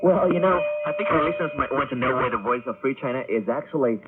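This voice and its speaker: Tinny narrow-band radio, male presenter, mid-pitched voice, announcing and co-host chat, music behind. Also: expressive, fast.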